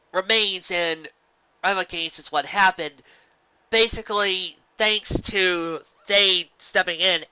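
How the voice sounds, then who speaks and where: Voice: mid-range voice, sharp tone, clear, resonant tone, dry recording. A person reading and discussing fan fiction in an emphatic, informal, dramatic way. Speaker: fan fiction reader and reviewer. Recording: dull lo-fi sound.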